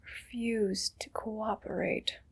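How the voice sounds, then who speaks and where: A woman voicing a haughty, cruel evil queen in an ASMR roleplay, whispering softly and condescendingly. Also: mid-range voice, level tone, exaggerated voice. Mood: condescending, menacing. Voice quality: breathy whisper. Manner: slow, condescending, possessive.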